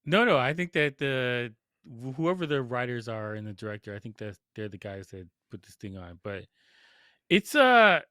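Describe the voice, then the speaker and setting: A man with a bright, forceful voice talks through a casual film review as a podcast co-host. His pitch moves in a lively, animated way, and he keeps an informal, lightly amused tone. The sound is dry and close. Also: moderate pace, clean tone, no echo.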